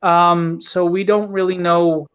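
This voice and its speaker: A man's voice: little pitch movement, takes it slowly, fairly bright tone, clean tone. A man giving an earnest, candid, casual account of his project as an interview guest. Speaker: guest, comic book writer and publisher. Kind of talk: casual interview talk, plugging and explaining a project. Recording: dull, low-fidelity call audio.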